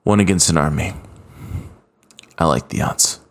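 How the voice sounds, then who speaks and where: A man's voice: rumbling low, measured pace, voice pushed down. A man voicing a determined, defiant young space ranger hero with dramatic delivery in a sci-fi story. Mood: cocky.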